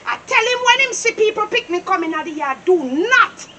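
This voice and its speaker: A woman playing a fiery, devout Jamaican grandmother in a drama, scolding loudly and repeating her questions. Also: extremely high pitch, big pitch swings, brisk, heavily acted. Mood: stern, exasperated. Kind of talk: acted comedy drama dialogue. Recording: distant mic with some background noise.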